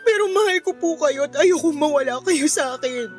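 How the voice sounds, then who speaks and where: A woman's voice: high voice, expressive, normal speed, soft background music. A woman playing a devoted but hurt young granddaughter in a Tagalog radio drama, speaking with earnest emotion. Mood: loving, pleading.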